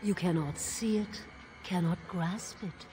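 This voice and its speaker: A woman voices a seductive female demon for a fantasy game. She speaks in a low, unhurried voice, possessive and coldly defiant. Soft music plays underneath. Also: close to an everyday voice. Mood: cool, seductive. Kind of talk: fantasy video game dialogue.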